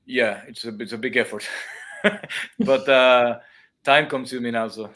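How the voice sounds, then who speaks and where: A man with a non-native English accent, talking from a room over a video call. He explains at an average pitch, reaching for examples and restarting his phrases. He sounds like a teacher chatting with a host. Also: unhurried, fairly bright tone. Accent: non-native English speaker. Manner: explains with everyday comparisons, many restarts. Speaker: dance and music teacher; guest. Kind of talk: casual live-stream chat. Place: room at home with cameras.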